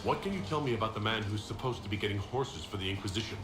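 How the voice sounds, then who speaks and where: An actor playing a direct, skeptical young hero who questions people in a fantasy video game. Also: male voice, lowish voice, rapid-fire, echoey room, over music, lightly acted. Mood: inquiring. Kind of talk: dramatic video game dialogue.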